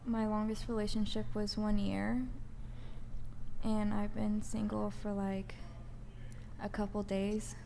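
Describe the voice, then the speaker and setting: A woman's voice: mid-range voice, completely flat delivery, slow pace, gravelly, pauses often, dark tone, a little hiss or hum. An eighteen-year-old woman, a guest on a dating podcast, talking hesitantly with lots of "like". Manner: hesitant, filler-heavy. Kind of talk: casual chat.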